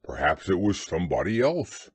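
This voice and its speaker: A man voicing a simple, good-natured male bear in a storybook reading, talking plainly and gently, a little bemused. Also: low-pitched, big pitch swings, moderate pace, slightly rough, no room sound, voice pushed down, heavily acted. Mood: puzzled.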